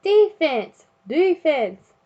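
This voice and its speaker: A woman's voice: high-pitched, very animated, very slow, dull audio, pitched above the performer's own voice, full character voice. A woman voicing an urgent, bossy frog father who coaches soccer in a storybook, calling out instructions. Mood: yelling.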